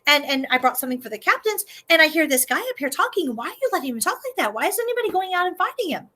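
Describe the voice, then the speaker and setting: A woman's voice: very high-pitched, sing-song, very fast, sharp tone, pitched above the performer's own voice, heavily acted. A woman voicing a brave, indignant young shepherd boy who insists he will fight the giant, in a children's story. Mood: earnest. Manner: outraged, insistent questions.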